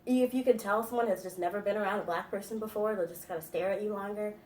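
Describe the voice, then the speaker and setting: A woman's voice: mid-range voice, fairly even intonation, quick pace, a little mellow. A woman in her twenties answering interview questions in a chatty, thoughtful way with many fillers. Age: 20s. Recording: roomy echo, from a distance, faint noise.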